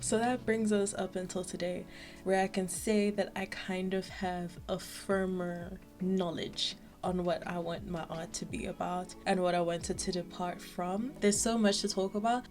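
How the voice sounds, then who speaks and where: A young woman from South Africa chatting casually about her art, with lots of filler words and lively asides. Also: lowish voice. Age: young adult. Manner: chatty, animated, frequent "like" fillers. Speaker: art vlogger. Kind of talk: casual chat.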